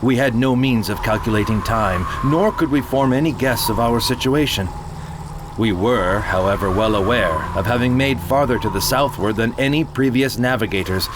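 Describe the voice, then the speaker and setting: A man narrating a dramatized horror tale of the sea in a grave, measured voice that sinks low and quiet on dark phrases, with music playing underneath. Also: background noise.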